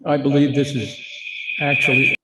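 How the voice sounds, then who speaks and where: A middle-aged or older man giving an informal, explanatory talk to a congregation in an earnest, measured way. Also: medium pitch, little pitch movement, heavy background noise. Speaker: guest speaker at a congregation service.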